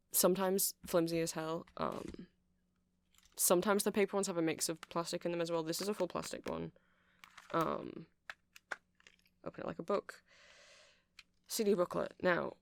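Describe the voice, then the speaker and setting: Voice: medium pitch, gravelly, lightly acted. A performer in an ASMR roleplay playing a nerdy, awkward, friendly male vampire music-shop seller who rambles hesitantly and apologetically to the listener. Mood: chatty, explanatory.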